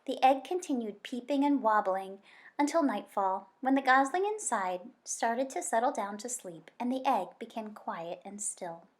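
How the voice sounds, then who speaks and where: Higher-voiced woman reading a children's story. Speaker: story reader. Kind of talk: expressive children's read-aloud narration.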